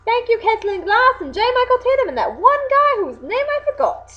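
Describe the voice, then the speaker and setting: A young woman co-hosting a casual video, chatting excitably and often correcting herself. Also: very high-pitched, a little fast. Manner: animated, self-correcting, excitable. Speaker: video co-host reviewing anime voice acting. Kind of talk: casual chat. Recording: dull lo-fi home recording.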